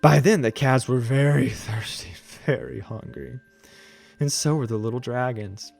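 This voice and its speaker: A man reading a children's storybook aloud as an animated narrator. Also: medium pitch, a little slow, vocal fry, no echo. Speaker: children's book reader.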